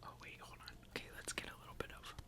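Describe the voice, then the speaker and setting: A woman whispering casually into a close microphone for an ASMR video, chatty and giggly. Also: quick pace. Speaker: ASMR creator.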